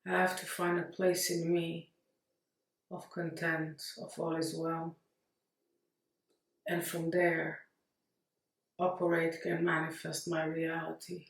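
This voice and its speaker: A woman leading a guided meditation in a gentle, unhurried manner, leaving long pauses. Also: low-pitched, completely flat delivery, vocal fry. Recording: roomy echo, distant mic.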